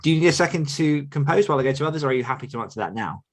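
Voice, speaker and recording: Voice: medium pitch, expressive, very fast. A podcast host chatting casually and moderating a group discussion on an online call.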